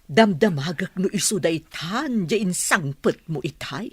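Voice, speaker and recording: An actress playing a nosy, suspicious middle-aged mother with a husky voice in an Ilocano radio drama. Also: on the low side, animated, measured pace, no echo. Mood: prying.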